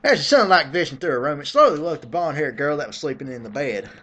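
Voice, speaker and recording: A mid-pitched voice performs a mock dramatic reading of fan fiction. The delivery is theatrical and put-upon, with an exasperated edge. Sarcastic commentary is slipped in between the narrated sentences. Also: animated, fast talker, a bit bright, slightly rough. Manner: theatrical, exasperated, breaks off for snarky remarks.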